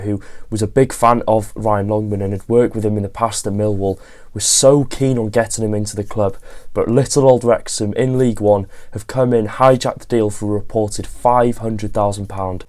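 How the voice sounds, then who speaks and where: A hoarse man with a cold, hosting a casual football vlog and talking through a new signing with lots of "of course" and "you know". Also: fairly low pitch, darkish tone. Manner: talks fast with many fillers like "of course" and "you know". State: hoarse from illness. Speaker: football fan channel host.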